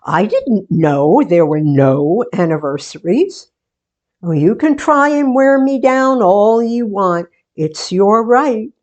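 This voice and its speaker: A woman playing a sentimental, playful daughter and hostess in a 1940s-style radio theatre monologue, with deliberate, theatrical delivery. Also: medium pitch, lively intonation, a little mellow, lo-fi sound. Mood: affectionate, playful. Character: sentimental daughter, book-show hostess, playfully resisting marriage.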